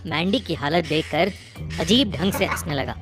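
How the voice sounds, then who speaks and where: A woman narrating a scripted horror story in a measured, suspenseful way, phrase by phrase. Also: deep voice. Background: prominent music bed.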